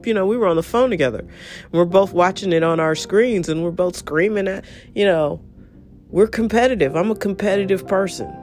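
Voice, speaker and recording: A woman hosting her show alone, husky and a little nasal from congestion. She talks bluntly and with energy, and she swears casually. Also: mid-range voice, a little fast, darkish tone, faint music behind. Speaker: show host. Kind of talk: opinionated solo monologue.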